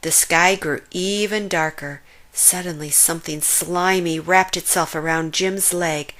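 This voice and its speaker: A woman reading a children's story aloud as an expressive storyteller. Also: on the low side, a little slow, poor audio.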